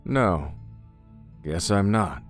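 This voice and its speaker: Man voicing a low, gruff wandering stranger. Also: lively intonation, extremely slow, soft background music, heavily acted. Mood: curt, guarded. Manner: curt, gruff, guarded. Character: terse, guarded male traveler, a weary hard-bitten stranger.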